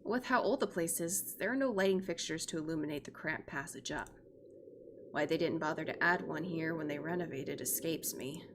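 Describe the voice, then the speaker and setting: A woman's voice: low-pitched, moderate pace, noisy background. A woman streamer reading a visual novel aloud, expressively and theatrically, with joking asides. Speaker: streamer, virtual YouTuber.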